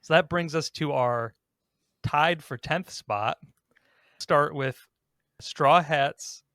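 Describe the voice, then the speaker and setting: A man's voice: fairly high pitch, slow, fairly bright tone, dry recording, clean studio sound. A man hosting a podcast, talking casually as he leads a countdown discussion. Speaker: podcast host.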